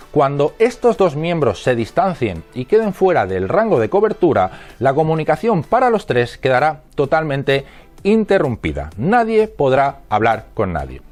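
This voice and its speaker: A man's voice: medium pitch, darkish tone. A man with an accent from Spain reading scripted instructional narration in a methodical, didactic manner. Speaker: narrator of a product explainer.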